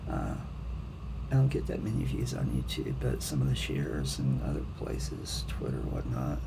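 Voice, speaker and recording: A man, an author who reads his own poetry and talks to viewers, with a gravelly, creaky voice. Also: a bass voice, very animated, normal speed. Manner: hesitant, self-deprecating when talking to viewers. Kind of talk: dramatic poetry reading, then casual rambling talk to viewers. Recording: clearly noisy amateur home recording.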